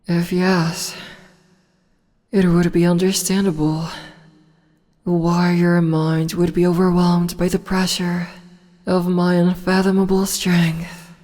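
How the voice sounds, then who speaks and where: A woman voicing a commanding, teasing demon empress in an ASMR audio roleplay, speaking in slow, low whispers. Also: little pitch movement, darkish tone, big-room sound. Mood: condescending. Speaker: voice actress.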